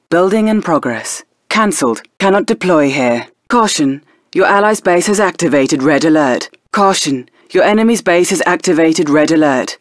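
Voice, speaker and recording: An actress playing a composed female military intelligence officer, giving crisp, formal status announcements in a strategy video game. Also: on the low side, no room sound, studio quality.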